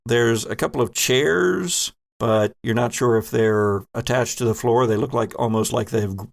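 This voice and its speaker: A man narrating an improvised tabletop game as game master, building descriptions in halting, pieced-together phrases. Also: lowish voice, creaky.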